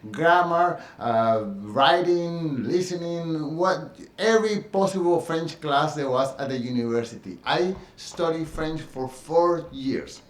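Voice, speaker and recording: A man's voice: fairly high pitch, takes it slowly, echoey room. Earnest man with a Spanish accent. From Colombia. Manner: earnest, reflective, with frequent fillers and restarts. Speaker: dance teacher sharing his experience. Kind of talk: personal monologue.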